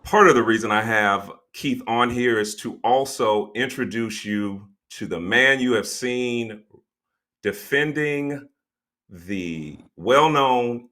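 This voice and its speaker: A man's voice: mid-range voice, pushed and bright. A man hosting an interview show, a non-practicing attorney, speaking hesitantly with many fillers and restarts.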